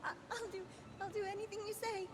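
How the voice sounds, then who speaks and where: A woman's voice: very high-pitched, flat delivery, fast. A woman voicing a timid, frightened, tearful young student hostage in a video game. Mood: terrified. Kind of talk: scripted game voice acting. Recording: noisy game stream capture.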